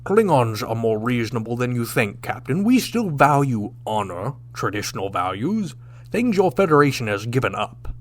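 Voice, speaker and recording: An actor playing a proud, stern old Klingon warrior captain in a sci-fi audio drama, formal and declamatory in manner. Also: male voice, mid-range voice, big pitch swings, normal speed, natural voice. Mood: weary pride.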